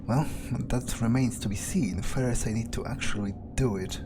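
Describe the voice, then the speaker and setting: A man's voice: mid-range voice, normal speed, noisy background, lightly acted. A man roleplaying an irritable, foul-mouthed male vampire in a video game, musing aloud in a hesitant way. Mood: musing, wary. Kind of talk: in-character roleplay commentary over gameplay. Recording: music behind the voice. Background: game music.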